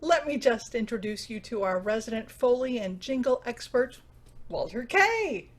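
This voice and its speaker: Lively, over-reassuring station manager played by a woman. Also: a little high, moderate pace. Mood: forced cheer. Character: Eleanor, upbeat station manager smoothing over trouble. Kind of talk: radio drama acting.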